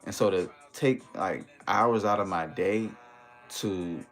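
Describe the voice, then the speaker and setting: A deep-voiced American man hosts a casual livestream over music. He talks to his viewers in a slangy, conversational way, dropping in tags like "you know" as he goes. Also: takes it slowly. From United States, Maryland and Washington DC area. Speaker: livestream host chatting with viewers.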